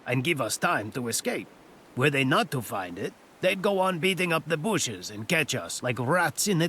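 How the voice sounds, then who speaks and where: Cocky scheming master thief for a fantasy audio play, bit husky, really animated. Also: male voice, a little high, full character voice. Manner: theatrical, self-assured, boastful. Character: boastful, cunning master thief, a grown man. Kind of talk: fantasy audio drama acting.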